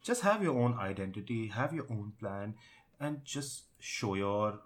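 A man's voice: lowish voice, expressive, unhurried. A man co-hosting a casual discussion about tech and gaming, speaking in an opinionated, emphatic way. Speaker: podcast co-host.

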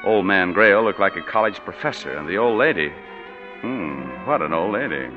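A man's voice: low-pitched, lively intonation, moderate pace. An actor playing a wisecracking hard-boiled private detective who narrates his own story in a 1940s radio drama, with dry, sardonic delivery. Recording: dull 1940s radio broadcast with music.

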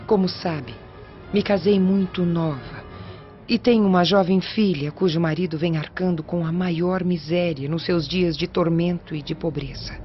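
A woman's voice: fairly low pitch, moderate pace, close to an everyday voice. A woman playing a humble, earnest middle-aged servant in a radio drama, with a Brazilian accent and a deferential manner. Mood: earnest, pleading. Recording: muffled radio drama, soft music underneath.

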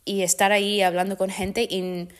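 Una mujer que presenta un pódcast y habla un español aprendido como segunda lengua, con tono relajado y de apoyo. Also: female voice, mid-range voice, normal speed. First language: not Spanish. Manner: relaxed, encouraging listener. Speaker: podcast host. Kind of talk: casual conversation.

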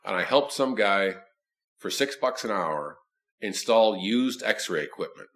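A man with a deep voice chatting casually, telling self-deprecating stories with frequent stammering restarts. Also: expressive, a little slow, a bit bright. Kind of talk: casual conversation.

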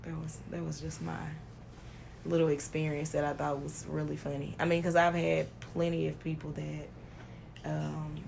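A woman's voice: low-pitched, level tone, normal speed, gravelly, a little mellow, a little hiss or hum. A young woman co-hosting a podcast, telling stories with lots of ums and laughter in casual chat. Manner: laughing, storytelling with many ums. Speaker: podcast co-host.

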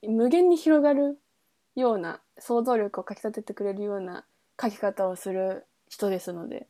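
A woman's voice: medium pitch, moderate pace, dark tone. A woman podcast host in a casual book chat, thinking aloud in trailing, loosely linked phrases. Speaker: podcast host reviewing a novel.

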